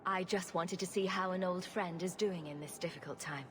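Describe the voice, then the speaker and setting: An actress playing a confident, dry and sarcastic adventuring heroine in a fantasy game. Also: female voice, on the low side, fairly even intonation, normal speed, close to an everyday voice. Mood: questioning, direct. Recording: clear background noise.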